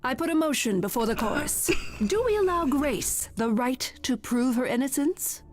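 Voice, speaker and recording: An actress playing a stately goddess of wisdom in a musical video game, formal and measured, sometimes singing. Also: female voice, sits high, animated, soft background music. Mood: stern, measured. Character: stately goddess of wisdom, calm, solemn judge. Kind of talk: theatrical game dialogue, partly sung.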